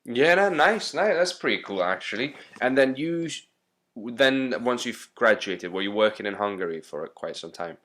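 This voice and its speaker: A man hosting a podcast who talks in a relaxed, encouraging way and thinks out loud while asking questions. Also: mid-range voice, moderate pace. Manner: chatty, encouraging, thinks aloud. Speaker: podcast host. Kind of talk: casual interview chat.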